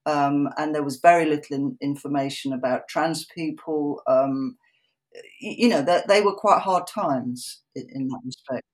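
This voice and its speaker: A woman with an English accent speaking reflectively about her own life as an interview guest, haltingly, repeating words as she thinks. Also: deep voice, poor audio. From England, in a Polish family. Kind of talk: reflective personal conversation.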